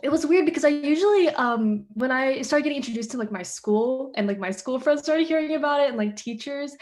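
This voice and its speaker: A woman's voice: sits high, animated, brisk, darkish tone. A teenage girl, a playwright guest on a panel, answering questions earnestly with many fillers over a video call. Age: teens.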